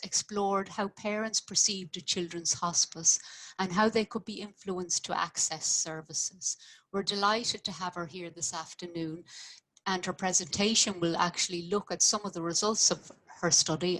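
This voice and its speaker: A woman's voice: on the low side, fairly bright tone, rough recording. A woman hosts a webinar, giving a formal, scripted introduction over a video call. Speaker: webinar host.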